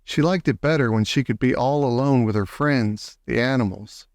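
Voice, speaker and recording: A man narrating a children's story from a script in a measured, clearly worded way. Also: mid-range voice, darkish tone, dry recording. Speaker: audiobook narrator.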